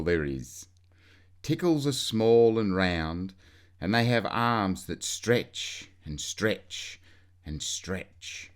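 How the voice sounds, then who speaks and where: A man reading a children's storybook aloud in an expressive way, drawing out key words for emphasis. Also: lowish voice.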